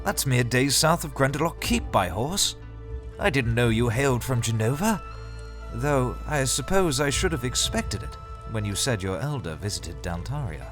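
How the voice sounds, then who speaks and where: A man's voice: medium pitch, lively intonation, moderate pace, natural voice. An actor playing Turum, an earnest male knight who keeps pressing with questions in an audio drama. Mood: realizing. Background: music.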